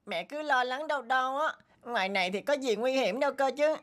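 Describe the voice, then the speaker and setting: A woman voicing a naive, boastful and stubborn young boy donkey in an animated fairy tale. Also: sits high, brisk. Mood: whiny, defiant. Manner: boastful, whiny, talks to himself.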